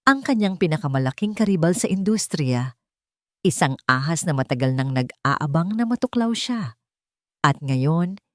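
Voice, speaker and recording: A Filipino woman narrates a dramatic story in a slightly low voice. Her intonation moves widely up and down, and the recording is dry and close. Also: no echo.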